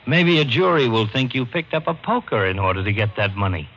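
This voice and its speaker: An actor playing a weary, no-nonsense police lieutenant with a worn-out voice in an old radio crime drama. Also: male voice, medium pitch, expressive, fairly quick, fairly bright tone. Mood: firm questioning. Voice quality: worn-out sounding. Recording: muffled, slightly noisy old radio recording.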